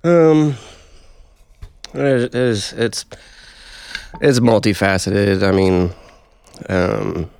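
A man with a low, gravelly voice talks very slowly and haltingly, stopping to think between phrases. The sound is dry and close. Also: steady tone, a little mellow, no room sound. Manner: slow, halting and thoughtful, with many fillers and swears. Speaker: guest. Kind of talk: casual chat.

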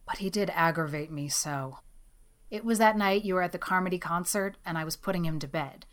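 A woman's voice: fairly low pitch, a bit bright, natural voice. A woman voicing a stern, grim older woman raising a child, weary and dry in manner.

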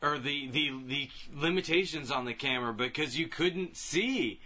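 Casting: male podcast co-host with a bright, clear-cut tone, thinking aloud in casual group conversation. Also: on the high side, lively intonation, moderate pace. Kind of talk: casual group chat about video games.

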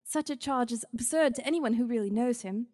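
A man's voice: extremely high pitch, a little fast, mellow, in a large hall, voice pushed up. A man reading an audiobook, voicing an eager young woman who pleads breathlessly and insistently. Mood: pleading. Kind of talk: audiobook character voice.

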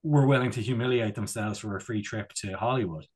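A man's voice: fairly low pitch, a little fast, breathy edge. A man chatting casually as a comedy podcast co-host, rambling and digressing with a jokey manner.

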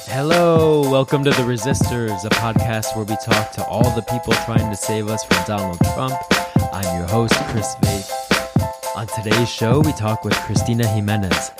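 A man's voice: on the low side, moderate pace, loud music behind the voice. A man hosting a podcast, reading a set introduction and then asking his guest questions. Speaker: podcast host.

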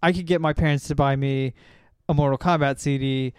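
A man's voice: medium pitch, fairly even intonation, a little fast, clean tone, dry recording, clean studio sound. A young man hosting a podcast, chatting casually and rambling through stories with lots of filler words. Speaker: podcast host. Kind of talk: casual pop-culture chat.

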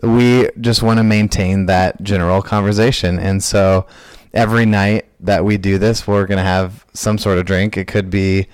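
Lower-voiced man in a dry room. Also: normal speed, hardly any pauses, clean tone, no room sound. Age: 20s. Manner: relaxed, joking, easygoing. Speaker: podcast co-host. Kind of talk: casual podcast chat.

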